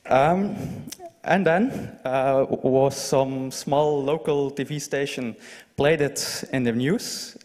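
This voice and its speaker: A young Flemish man speaks casually and haltingly at a conference, holding a microphone. His voice has a middle pitch and rings through a big lecture hall with long reverb. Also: darkish tone. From Flanders, Belgium. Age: young adult. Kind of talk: informal lightning talk to an audience. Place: large lecture hall, indoors. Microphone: handheld microphone.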